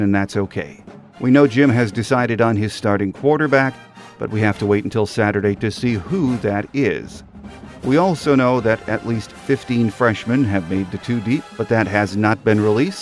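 An American man hosting a sports podcast, speaking in a conversational, folksy announcing manner. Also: mid-range voice. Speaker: podcast host. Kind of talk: sports podcast monologue and interview intro.

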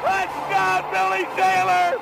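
An American man calling football play-by-play on the radio in a frantic, shouting manner. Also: very high-pitched, completely flat delivery, slow, gravelly, over loud music, over a noisy crowd, rough recording. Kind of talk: excited football play-by-play commentary.

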